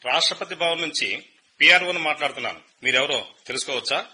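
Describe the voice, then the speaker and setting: A man playing a brisk, formal government official. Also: fairly high pitch, pushed and bright, breathy edge, heavily acted, rough recording. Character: official public relations officer on a phone call. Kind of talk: radio play acting.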